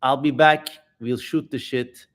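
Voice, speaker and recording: A man's voice: medium pitch, fairly even intonation, measured pace, fairly bright tone. A man hosting a livestream in casual chat, effusive and repeating words for emphasis as he talks to viewers. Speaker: livestream host.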